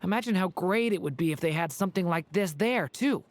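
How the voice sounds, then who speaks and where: A woman playing an upbeat, friendly young adventurer, encouraging and expressive in a video game. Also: on the low side, brisk, close to an everyday voice. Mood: cheerful, nostalgic. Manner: animated, encouraging.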